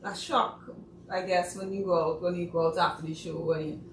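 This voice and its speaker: Trinidadian woman guest, animated storyteller, echoey room, mic at a distance. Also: on the low side, fairly quick, background noise. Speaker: guest playwright. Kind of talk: casual chat.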